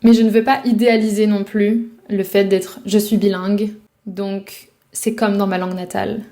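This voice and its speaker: A woman's voice: medium pitch, flat delivery, moderate pace, warm tone. A woman from France, a language coach, talking to the viewer in a reflective, casual way about life abroad. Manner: reflective, speaks directly to the viewer, casual fillers. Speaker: French speaking coach. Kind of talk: advice talk to camera.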